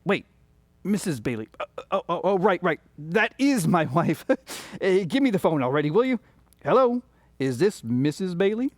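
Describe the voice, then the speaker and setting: Casting: actor as earnest, flustered young male banker in a radio play, very lively intonation. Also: mid-range voice, moderate pace, no echo. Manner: earnest, stammering. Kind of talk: radio drama acting.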